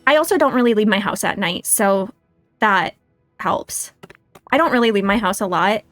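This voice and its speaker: A woman's voice: mid-range voice, animated, normal speed, a bit bright, no room sound, faint music behind. A woman hosting a casual game livestream, chatting and thinking aloud in a self-deprecating way. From United States. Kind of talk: casual livestream chat and gameplay commentary.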